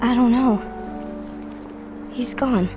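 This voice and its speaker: Worried young woman, very high pitch,, music underneath. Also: takes it slowly. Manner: soft, worried. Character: anxious, protective young woman. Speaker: game character. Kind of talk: video game voice acting.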